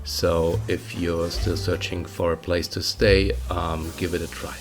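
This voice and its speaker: A man in his fifties with a German accent, narrating his travel vlog in a chatty way, often pausing with uh and um. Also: deep voice, little pitch movement. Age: 50s. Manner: chatty, pausing with many uh and um fillers. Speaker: travel vlogger. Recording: voice with music playing underneath.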